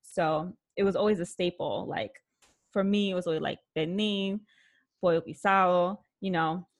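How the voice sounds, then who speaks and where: A woman's voice: lowish voice, normal speed. A woman hosting a casual interview, friendly and chatty, with frequent fillers. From Puerto Rican family background. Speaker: interview host.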